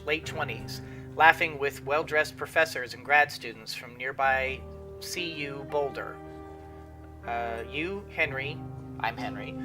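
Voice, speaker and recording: Sniffly, rambling gaming host. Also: male voice, fairly high pitch. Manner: rambling with many fillers and restarts. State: sniffly with a cold. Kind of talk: casual let's-play commentary.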